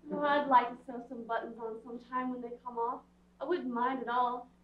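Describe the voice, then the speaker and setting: A woman's voice: a little high, little pitch movement, brisk, lightly acted. A woman in a radio theatre play acting a wistful, lovesick young society girl, artless and yearning. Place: theatre stage before an audience. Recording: distant echoey stage, dull sound.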